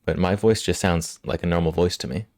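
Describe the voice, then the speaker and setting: A male livestream host chatting casually with viewers, slightly hoarse from a cold, relaxed and quick to laugh. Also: low-pitched, dry recording, clean studio sound. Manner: relaxed, laughs often, answers chat. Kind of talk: casual chat with live chat. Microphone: studio microphone.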